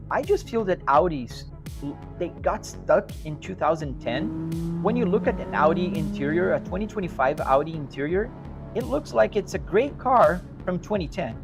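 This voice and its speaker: A man with a Brazilian accent chats loosely with his live audience, looping back and hedging as he answers their questions. His voice sits a touch on the high side, and music plays clearly behind him. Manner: easygoing, rambling, restarts and hedges. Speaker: sim racing live streamer. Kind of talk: casual chat answering live chat. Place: home sim racing rig. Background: music playing.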